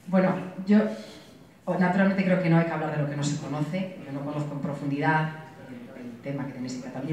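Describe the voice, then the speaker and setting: A middle-aged woman from Galicia speaking earnestly on a discussion panel, measured and full of restarts. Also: sits low, darkish tone, slight background noise. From Galicia, Spain. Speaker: panel speaker, opposition spokesperson. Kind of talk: panel debate remarks. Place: conference hall. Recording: echoey hall, distant mic.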